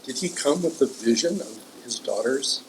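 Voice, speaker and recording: A man with a mid-range voice hosts a relaxed interview in a coffee shop. He sounds friendly and curious and often pauses on "uh." Faint noise sits behind him. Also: medium pitch, rough recording. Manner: friendly and curious, many "uh" fillers. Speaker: host, tea company owner. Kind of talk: casual interview.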